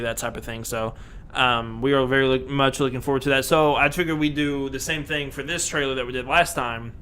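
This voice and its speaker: Bright-voiced man chatting on a podcast. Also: medium pitch, clean tone. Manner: rambling, many fillers and restarts, laughs often. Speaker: podcast co-host. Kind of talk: casual podcast chat.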